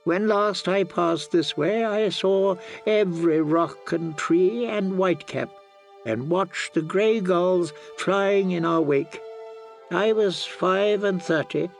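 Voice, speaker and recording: Frail ancient male scholar, high voice, very unhurried, wistful audiobook reminiscence. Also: vocal fry, heavily acted. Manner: slow, reminiscing. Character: very old frail maester, wistfully recalling his youth. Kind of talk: audiobook character acting.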